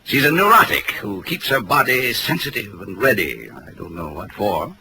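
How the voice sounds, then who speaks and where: Arch, wordy mystery author, lively intonation, gravelly, on a noisy vintage radio recording. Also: male voice, on the low side, heavily acted. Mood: arch, musing. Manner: wordy, arch, drawn-out phrasing. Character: urbane, sardonic male mystery novelist. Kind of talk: 1940s radio drama acting.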